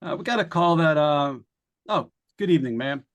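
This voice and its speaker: A man's voice: on the high side, background noise, natural voice. A man playing a courteous, hesitant, apologetic police officer in an old-time radio suspense play. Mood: apologetic, awkward. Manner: halting, courteous.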